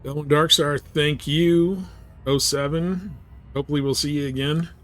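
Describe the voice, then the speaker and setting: A man's voice: fairly high pitch, steady tone, moderate pace, fairly bright tone, soft background music. A man chatting informally and colloquially with viewers while he plays a game on a livestream. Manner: laid-back, informal, colloquial. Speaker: live game streamer. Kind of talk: casual gameplay chat with stream viewers.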